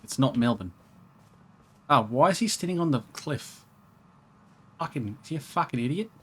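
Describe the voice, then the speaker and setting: A man's voice: a little high, expressive, moderate pace, a bit bright, breathy edge. A man chatting casually in a rambling, jokey, sweary way as he plays a co-op video game. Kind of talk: casual co-op let's play chat.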